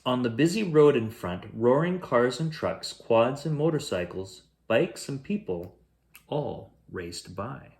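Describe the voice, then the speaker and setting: Male storyteller for a children's picture book; medium pitch, animated delivery, measured phrasing with expressive emphasis. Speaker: bedtime story reader, host.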